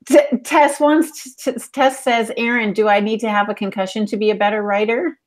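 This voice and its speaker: A woman's voice: on the high side, fairly even intonation, moderate pace. A woman co-hosting a podcast in casual chat, with a chatty, effusive way of talking. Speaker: podcast co-host.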